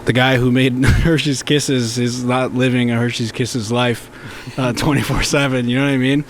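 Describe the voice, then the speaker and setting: A man's voice: mid-range voice, fairly even intonation, moderate pace, slight background noise. Chatty man with easy laugh. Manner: chatty, laughing, filler-heavy. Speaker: guest content creator. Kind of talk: casual interview.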